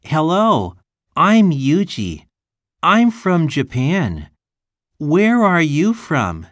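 A woman voicing a friendly slow-speaking schoolboy. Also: sits low, wildly swooping pitch, dry recording, clean studio sound, heavily acted. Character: friendly young schoolboy from Japan. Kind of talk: slow, carefully enunciated test dialogue.

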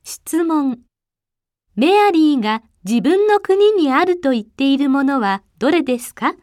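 A woman with a high, lively voice reads out the instructions and questions of a listening test. Her delivery is formal and even, and the recording is dry and close. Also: fairly quick, no echo. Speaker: test narrator. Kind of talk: formal reading of exam instructions.

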